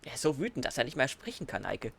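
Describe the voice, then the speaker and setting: A man's voice: mid-range voice, sing-song, fast, creaky, a bit bright, slight background noise. A man joking and mocking the game as he comments on it. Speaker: let's play host.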